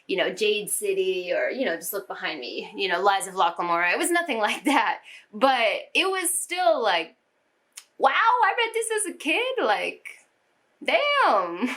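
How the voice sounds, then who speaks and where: Bright chatty young woman reviewing books at home, laughs a lot, kinda high voice. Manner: chatty, laughs often, lots of "like" and "you know". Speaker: book vlogger. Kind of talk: casual book review chat.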